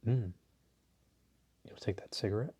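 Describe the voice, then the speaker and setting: A man playing a suave, dominant, flirtatious mafia boss in an intimate audio roleplay, courteous and teasing, with a low husky voice. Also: highs cut off. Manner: slow, teasing, courteous, softly chuckling.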